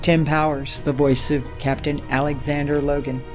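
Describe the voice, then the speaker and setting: A male announcer reads scripted closing material for an audio drama. His voice is a bit high for a man, over faint music. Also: level tone, normal speed, darkish tone. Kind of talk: scripted credits and episode announcement.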